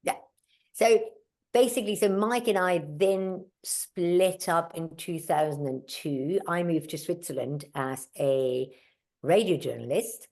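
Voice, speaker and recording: Chatty german-native woman telling stories in an interview, low voice, lots of "you know"s. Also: a little slow, a little mellow. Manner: chatty, with many fillers and asides. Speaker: mountaineer and guest. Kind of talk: casual interview storytelling.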